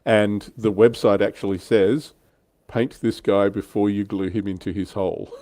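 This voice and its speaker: A man's voice: on the low side, flat delivery, normal speed, dark tone, no echo, studio quality. A man hosting a hobby livestream, chatting casually about tabletop wargame miniatures.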